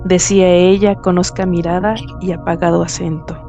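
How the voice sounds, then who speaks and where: A woman's voice: lowish voice, little pitch movement, moderate pace, mellow. A woman narrating a literary story in a dramatized radio reading. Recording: music underneath.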